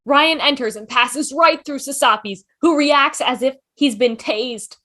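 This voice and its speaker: A woman's voice: high-pitched, a little slow, bright, forceful tone. A woman reading scripted stage directions as narrator of a table read.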